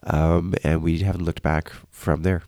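A man talks informally as an interview guest. His voice is low with plenty of vocal fry, and the sound is dry and close. He tells anecdotes in a casual way. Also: flat delivery, unhurried, dark tone, no echo. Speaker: interview guest, concert organizer. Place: church, live broadcast.

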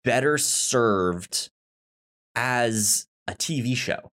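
A man co-hosting a podcast, talking casually about film in a halting, rambling way with many restarts. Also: medium pitch, animated, fairly bright tone. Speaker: podcast co-host.

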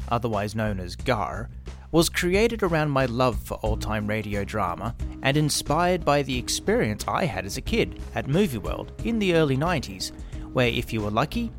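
A middle-aged man with an Australian accent, reading scripted promotional narration as a podcast host. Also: mid-range voice. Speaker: podcast host and drama workshop creator. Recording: music behind the voice. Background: music.

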